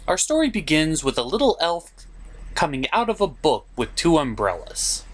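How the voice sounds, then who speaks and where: A man's voice: fairly high pitch, big pitch swings, moderate pace. A man hosting a comedic film review, narrating with theatrical, exaggerated delivery. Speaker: show host and reviewer.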